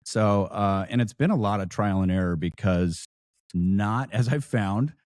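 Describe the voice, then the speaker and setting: A man's voice: lowish voice, unhurried, a little mellow, no room sound, clean studio sound. An American man in his late forties chatting casually as a podcast guest, explaining through anecdotes. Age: late 40s. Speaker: podcast guest, lodge educator.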